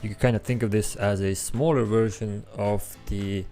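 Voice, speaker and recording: A young man with an Estonian accent, his first language Estonian, walks through a coding tutorial in a relaxed way, with frequent ums as he thinks aloud. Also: on the low side, moderate pace. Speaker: app developer tutorial host. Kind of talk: screen-recorded coding tutorial. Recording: faint background music.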